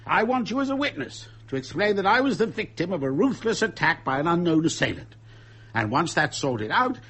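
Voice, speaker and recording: An actor playing a blustering, exasperated captain of an ocean liner in a radio drama, authoritative in manner. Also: male voice, on the high side, a bit bright, slightly rough, full character voice. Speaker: ship's captain.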